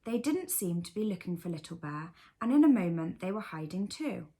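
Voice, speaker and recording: A woman's voice: lowish voice, very animated, a little fast, mellow. A woman reading a scripted children's bedtime story aloud as the storyteller.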